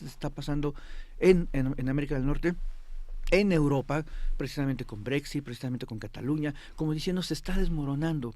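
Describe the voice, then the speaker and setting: A man with a slightly high voice, recorded close and dry in a studio. He gives expert commentary in an explanatory, emphatic way and often checks that he is being followed. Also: darkish tone, no echo. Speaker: expert guest. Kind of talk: interview commentary on politics.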